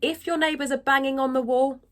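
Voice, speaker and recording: A woman singing teacher giving an upbeat, encouraging lesson to camera, now and then dipping into vocal fry. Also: high voice, fairly even intonation, brisk. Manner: dips into vocal fry when speaking. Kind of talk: instructional talk to camera.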